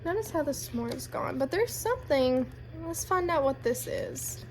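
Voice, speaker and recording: High-pitched, animated woman reading to children. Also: normal speed, slight background noise. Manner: addresses children with guiding questions. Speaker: read-aloud storyteller. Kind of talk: animated children's picture book narration.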